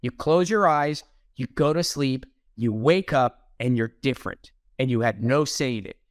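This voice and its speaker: A man's voice: mid-range voice, moderate pace, no echo, studio quality. A middle-aged man, a plastic surgeon, talking bluntly and jokingly on a video call. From Iran. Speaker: plastic surgeon, podcast host. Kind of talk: casual interview chat. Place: home room on a video call.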